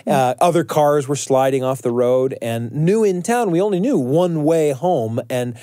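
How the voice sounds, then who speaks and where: A middle-aged American man hosting a family podcast with an expressive, measured storytelling delivery. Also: fairly high pitch, no room sound, studio quality. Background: faint music.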